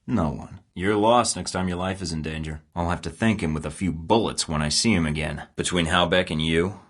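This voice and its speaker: A man's voice: low-pitched, a little fast, fairly bright tone. An actor playing a cocky young male secret agent in a video game, delivering dry, sarcastic, terse lines. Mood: cool. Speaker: game character.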